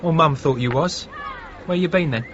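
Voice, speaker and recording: A man's voice: fairly high pitch, normal speed, close to an everyday voice. An actor playing a blunt, accusing young man with a southern English working-class accent, in a radio drama. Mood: accusing, resentful. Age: young adult. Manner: needling, confrontational. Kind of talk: British radio drama acting. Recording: muffled, noisy vintage radio recording.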